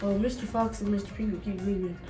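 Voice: medium pitch, fairly even intonation, quick pace. An adult casually and playfully interviewing children's puppets, using folksy phrasing. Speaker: parent hosting a children's puppet show. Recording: echoey room with music behind.

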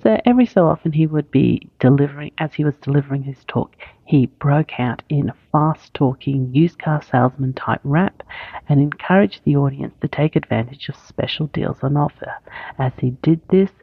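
A woman's voice: low voice, normal speed, vocal fry, slightly rough, no echo, narrow, tinny audio. A woman, speaking as the host of an interview podcast.

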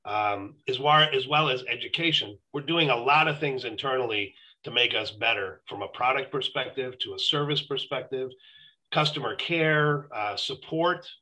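A man's voice: medium pitch, normal speed, sharp tone, low-quality recording. A middle-aged man on a video call, explaining his company as a guest on a live stream. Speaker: dental company executive, guest. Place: room at home or office, indoors.

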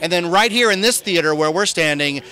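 Bright, high-pitched American man, enthusiastic promoter. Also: fairly quick. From Southern California, United States. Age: middle-aged. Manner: enthusiastic, salesman-like. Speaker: event master of ceremonies being interviewed. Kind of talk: promotional red-carpet interview. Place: outdoor press backdrop at a theme park at night. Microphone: handheld reporter microphone.

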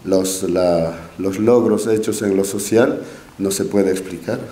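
A young Quechua-speaking man with an Andean accent addresses a forum from a podium mic, pausing often and restarting his phrases. His voice sits low and mellow, and he speaks slowly. The auditorium gives it a roomy echo. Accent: Quechua-speaking Andean Spanish. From Peru, Q'ero nation. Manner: halting and modest, with many restarts and fillers. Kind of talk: speech at a podium. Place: large auditorium stage. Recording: echoey auditorium, faint room noise.

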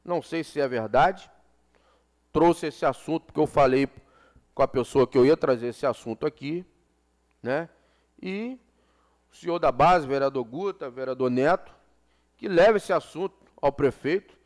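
A man's voice: a little high, animated, normal speed, pauses often, a little mellow. Impassioned man speaking at a council desk. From Brazil. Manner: impassioned, repeats phrases for emphasis. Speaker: city councilman. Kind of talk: council floor speech. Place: council chamber, indoors. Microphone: desk gooseneck microphone.

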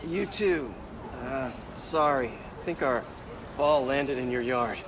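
An actor playing a young but seasoned superhero mentor with an American accent, casual, joking and reassuring, in video game dialogue. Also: male voice, medium pitch, slow pace, background noise, natural voice. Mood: earnest.

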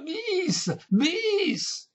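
A narrator voicing a deaf old noblewoman in a comic story, eager, loud and stubbornly oblivious. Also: medium pitch, very animated, a little slow, vocal fry, slightly rough, voice pushed up, heavily acted. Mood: shrill enthusiasm. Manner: loud, insistent, uncomprehending.

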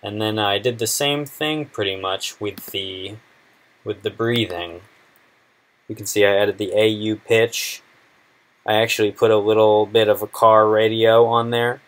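Low-voiced young man, casual tutorial. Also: steady tone, rough recording. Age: 20s. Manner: informal, unscripted, halting explanation. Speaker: video tutorial presenter. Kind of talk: casual screen-recorded software tutorial.

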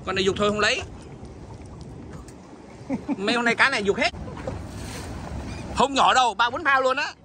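A man with a very high, bright voice, talking excitedly and cursing bluntly while he fishes from a boat. He is recorded outdoors, with faint background noise. His exclamations come loud and quick. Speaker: fishing vlogger. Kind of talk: excited fishing chat.

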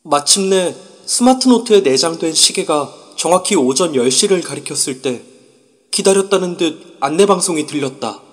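A man's voice: on the high side, animated, normal speed, big-room sound, lightly acted. An actor narrating in the first person as a tense young male player in an audio drama. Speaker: narrator and lead character.

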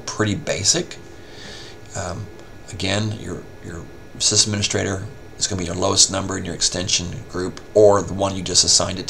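A man giving a casual, step-by-step software tutorial as a help desk instructor. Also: sits low, vocal fry, slight background noise. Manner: informal step-by-step explaining.

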